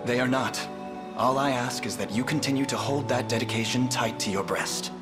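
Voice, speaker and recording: A male actor as a merciful, resolute young prince from a fantasy game. His voice sits at a middle pitch, formal and sincere, with loud music underneath. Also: normal speed, close to an everyday voice. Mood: solemn, gracious. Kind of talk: video game fantasy acting.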